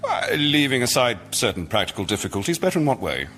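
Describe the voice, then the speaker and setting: An actor voicing the Sun in a radio comedy sketch, politely incredulous and deliberate in manner. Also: male voice, mid-range voice, big pitch swings, fairly bright tone, slight background noise. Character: the Sun as a patient, politely incredulous older-brother figure.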